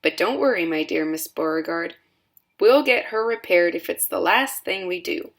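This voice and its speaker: A woman's voice: lowish voice, animated, normal speed. A woman voicing an eccentric male candy inventor who speaks in a rueful, matter-of-fact way.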